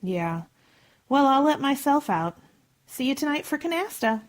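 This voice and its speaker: Woman playing a lively, arch lady friend. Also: sits high, normal speed. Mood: arch, chummy. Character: breezy, gossipy society matron, housewife's best friend. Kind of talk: old-time radio sitcom acting.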